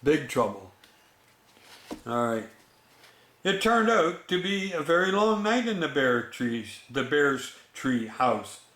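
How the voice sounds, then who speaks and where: Very slow-paced man reading bedtime stories. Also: fairly high pitch, big pitch swings, fairly bright tone. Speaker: storyteller. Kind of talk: bedtime story read aloud with chatty asides to children. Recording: echoey room.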